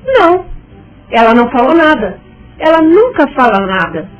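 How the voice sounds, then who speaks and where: High woman's voice acted, worried friend, dramatic, swooping intonation, radio soap, music behind. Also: big pitch swings, normal speed, highs cut off. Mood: troubled. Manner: hesitant, dramatic, pleading. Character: Marta, worried emotional woman, anguished friend. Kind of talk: radio soap opera acting.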